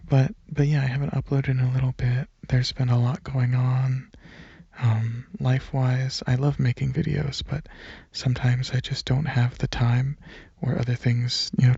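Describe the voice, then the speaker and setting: A man speaking softly and breathily in a relaxed, hesitant ASMR ramble, partly whispering, into a microphone held close. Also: mid-range voice, a little slow, vocal fry, breathy edge, no echo, lo-fi sound. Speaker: ASMR video creator. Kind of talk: casual rambling ASMR, softly spoken to listeners. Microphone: microphone held close at chest, leaning back.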